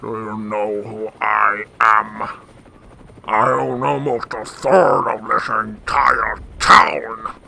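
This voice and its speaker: An actor playing a pompous, indignant big crime boss in a radio drama. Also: male voice, lowish voice, little pitch movement, slow pace, gravelly, bright, forceful tone, slight background noise, exaggerated voice. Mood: pompous, affronted. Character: blustering, arrogant male crime boss, big man.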